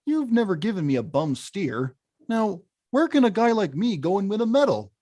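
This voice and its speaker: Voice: mid-range voice, very animated, normal speed, warm tone. An actor plays a big, stubborn bad guy from a cartoon about video games. The voice swings widely as he blusters with frustration. He is emphatic and emotional, hungry for respect. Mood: earnest, determined. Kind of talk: animated film script table read.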